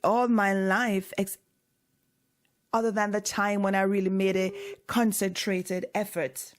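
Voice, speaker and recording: A woman's voice: medium pitch, level tone, unhurried. Woman hosting a call-in radio show. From Jamaica. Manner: hesitant, with many fillers and restarts.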